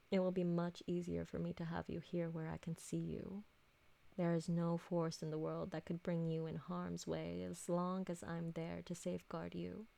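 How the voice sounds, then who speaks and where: An elegant vampire lady played by a woman speaks in a lowish, creaky voice. Her diction is formal and deliberate, that of a possessive, doting mistress in an ASMR roleplay drama. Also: dark tone.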